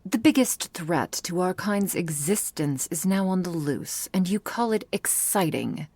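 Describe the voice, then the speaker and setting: A woman plays a stern female vampire bearing warnings in an audio roleplay. Her voice is lowish and husky, and she speaks formally and urgently, as one grave about a threat to her kind. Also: animated, a little slow, a little mellow, no echo, exaggerated voice.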